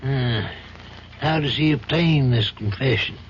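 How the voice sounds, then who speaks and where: An actor playing an older, compassionate judge in an old-time radio drama, reasoning in a measured, probing, paternal manner. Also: male voice, medium pitch, natural voice. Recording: dull, faintly noisy old radio recording.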